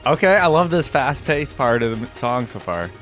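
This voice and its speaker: A man's voice: mid-range voice, sing-song, moderate pace, a bit bright, soft background music. A man hosting a reaction video with casual commentary, speaking informally and enthusiastically with frequent asides. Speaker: YouTube reaction host.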